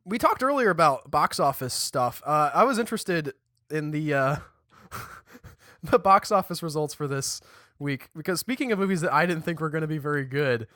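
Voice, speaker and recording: Podcast co-host, young man, bright tense tone, dry close mic. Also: on the high side, dry recording. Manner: chatty, animated, restarts and stumbles mid-sentence. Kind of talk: casual chat.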